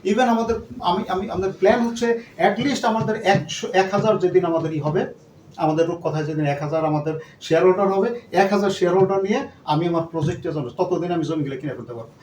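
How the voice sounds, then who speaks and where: High-voiced fast-talking man in an echoey hall. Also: a little mellow. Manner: earnest, repetitive, mixes English words. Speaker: company leader addressing shareholders. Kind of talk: speech to a gathering. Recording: echoey room, distant mic, background noise.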